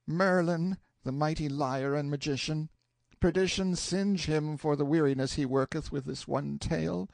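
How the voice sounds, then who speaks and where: Man voicing a high-pitched, exasperated young page boy. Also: normal speed, vocal fry, darkish tone, dry recording, studio quality. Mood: weary exasperation. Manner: sighing, theatrical complaining. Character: mocking, weary young page boy, archaic speech. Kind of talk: audiobook character voice.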